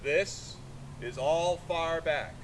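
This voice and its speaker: A man giving a live literary reading outdoors, declaiming in short, broken phrases. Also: extremely slow. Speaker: artist reading aloud and taking questions. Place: outdoors in a sculpture park. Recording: noisy outdoor recording with vehicle rumble.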